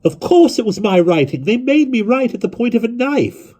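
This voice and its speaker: A man's voice: very animated, moderate pace, darkish tone, full character voice. A desperate, straining teenage boy. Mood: urgent. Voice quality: strained and high. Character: desperate teenage boy pleading with a hostile crowd.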